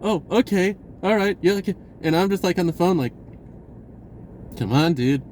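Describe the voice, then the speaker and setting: A man's voice: high voice, animated, normal speed, a little mellow, slight background noise. A man telling a personal story in a casual, rambling, slangy way and acting out bits of dialogue. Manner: informal slangy speech, acts out dialogue. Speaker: vlogger recounting personal experiences.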